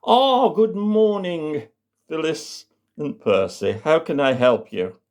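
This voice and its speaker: A man voicing a posh English family doctor in a comic story, speaking formally and haltingly in careful euphemisms. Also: on the high side, lively intonation, a little mellow, voice pushed up. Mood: polite, greeting.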